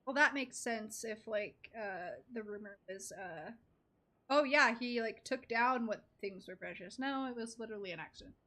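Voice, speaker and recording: A woman's voice: a little high, moderate pace, bright, forceful tone. A woman giving casual, reactive and teasing live commentary while she plays a game. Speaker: game streamer.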